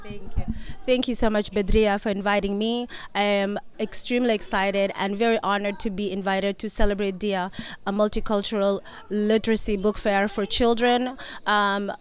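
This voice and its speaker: A woman's voice: medium pitch, level tone, normal speed. A woman author, Somali American, speaking earnestly about her bilingual children's books at a library book fair. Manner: earnest, teaching children to count and recite. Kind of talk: interview then reading to children at a book fair.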